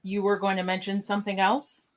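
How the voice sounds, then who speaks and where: A woman's voice: mid-range voice, monotone, a little fast, natural voice. A woman voicing a confident, flirtatious male starship first officer in an amateur radio play. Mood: calm, in command. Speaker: podcaster acting in script reenactment.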